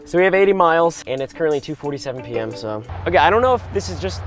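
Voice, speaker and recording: Excitable young man, music behind. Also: on the high side. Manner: excitable, chatty, quick exclamations. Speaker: vlogger. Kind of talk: casual vlog talk to camera. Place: inside a car and around a roadside stop. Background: background music.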